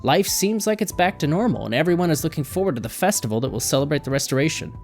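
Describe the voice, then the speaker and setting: A man's voice: fairly high pitch, animated, fast talker. A man reading a scripted video game review as a voice-over narration. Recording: music behind the voice. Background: background music.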